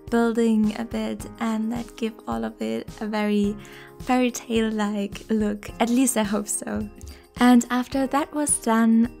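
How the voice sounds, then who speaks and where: A woman's voice: on the high side, creaky, a little mellow. A woman giving a casual voice-over commentary as a video creator walking through a game build.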